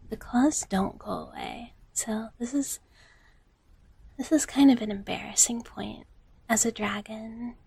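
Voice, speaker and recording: A gentle dragon big sister, played by a woman in a live ASMR roleplay. Her voice is on the high side and extremely breathy, often dropping into a soft whisper. She speaks slowly and haltingly, sweetly repeating phrases to soothe the listener. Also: dry recording, heavily acted.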